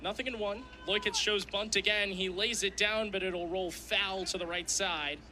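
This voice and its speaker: A young man calls a game on the radio in a high, very bright and forceful voice. His phrasing is clipped and descriptive, laying out each play as it happens. A faint bed of ballgame ambience sits behind him. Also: fairly even intonation, normal speed, a little hiss or hum. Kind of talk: live sports commentary.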